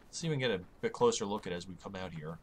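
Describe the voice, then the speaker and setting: A man with a slightly hoarse voice, rambling and joking at his own expense as he narrates playing a game. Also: sits low, expressive, rapid-fire, darkish tone, slight background noise. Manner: rambling, self-mocking, chatty asides. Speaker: gaming channel host. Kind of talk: casual let's-play commentary. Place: home, late at night.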